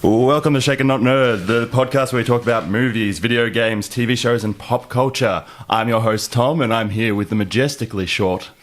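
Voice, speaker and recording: A man's voice: medium pitch, normal speed, hardly any pauses. A man hosting a casual podcast with banter.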